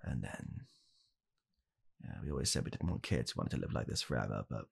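A young man playing a blunt, callous father in an ASMR comedy roleplay, speaking softly and haltingly in a deadpan way. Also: very deep voice, gravelly, husky, voice pushed down, full character voice. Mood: wistful, regretful. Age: young adult. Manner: soft-spoken, halting, deadpan. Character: blunt, callous father calmly blaming his child. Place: small home room, indoors.

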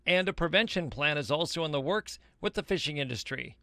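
A man in his sixties reporting live outdoors on a beach with a handheld mic, in a brisk, emphatic news delivery. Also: fairly high pitch, expressive, creaky, pushed and bright, slight background noise. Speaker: field reporter.

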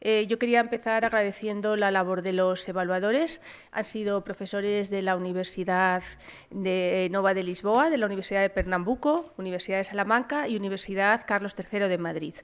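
Clear-voiced middle-aged woman. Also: medium pitch, brisk. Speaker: prize presenter. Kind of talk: semi-formal award announcement. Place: auditorium stage at a conference. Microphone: gooseneck podium microphone.

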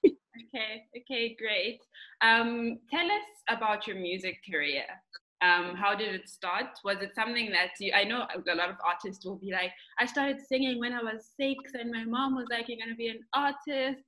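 A woman's voice: a little high, normal speed, bright, forceful tone, rough recording. A woman hosting an interview on a video call, asking friendly questions and mixing in isiZulu words. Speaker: interview host. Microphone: laptop webcam on a video call.

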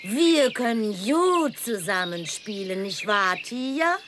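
A woman voicing a small, playful, coaxing piglet with a squeaky voice in a children's audio play. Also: sing-song, slow, a bit bright, a little hiss or hum. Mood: cosy.

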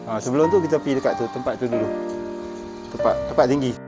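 A man's voice: medium pitch, fast talker, over loud music, low-quality recording. A middle-aged man with a northern Malaysian Malay accent chatting casually through a face mask on a walking vlog outdoors. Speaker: vlogger. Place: outdoors on forest park trails by a cave. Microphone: camera at arm's length.

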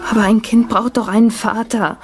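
A woman's voice: a little high, steady tone, a little fast, lightly acted. A woman playing a distraught, fearful pregnant young actress in a radio drama. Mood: anxious, desperate. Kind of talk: German radio drama acting.

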